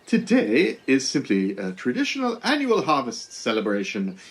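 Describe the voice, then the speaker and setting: An actor playing a blunt, deadpan male Pilgrim governor in a comedy sketch. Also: mid-range voice, moderate pace. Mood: amused, matter-of-fact. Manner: matter-of-fact, darkly deadpan.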